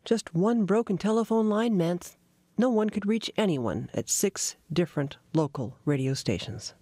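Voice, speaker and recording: A woman's voice: mid-range voice, animated, normal speed, warm tone, no room sound. A woman delivering scripted narration for an investigative documentary.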